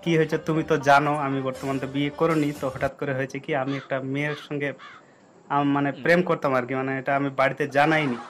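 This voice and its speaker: A man's voice: fairly high pitch, flat delivery, fast, over music. A young man talking casually and insistently, as a prankster on a phone call. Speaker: prank caller and video host.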